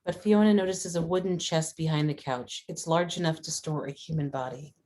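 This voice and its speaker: Woman reading out screenplay directions, really low voice for a lady. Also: steady tone, fairly quick, darkish tone, a little hiss or hum. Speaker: stage directions narrator. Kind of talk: scripted screenplay narration.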